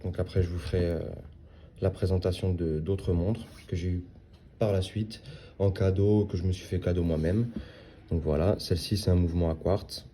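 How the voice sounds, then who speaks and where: A man's voice: low-pitched, fairly even intonation, moderate pace, mellow, slight background noise. A man in his thirties giving a casual, hesitant unboxing review of a watch, with many fillers and restarts. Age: 30s.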